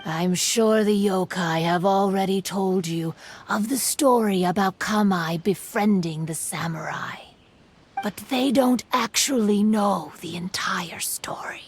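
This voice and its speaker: A woman voicing a fading, world-weary yokai spirit in a video game, telling stories in a solemn, reflective manner with breathy phrase endings. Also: medium pitch, a little slow, a little hiss or hum, natural voice. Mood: wistful, solemn.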